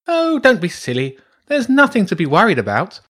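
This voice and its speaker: An eccentric badger priestess from a storybook, played by a man, pitched far up and swinging wildly in tone. She is haughty and theatrical, given to grumbling and exclaiming. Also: wildly swooping pitch, moderate pace, fairly bright tone, full character voice. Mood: coaxing, amazed. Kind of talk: character voice in a children's audiobook.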